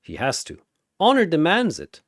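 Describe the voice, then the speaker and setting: A man delivering dramatic scripted battle narration in a theatrical, deliberate way. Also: on the high side, huge pitch swings, fairly bright tone, dry recording, studio quality.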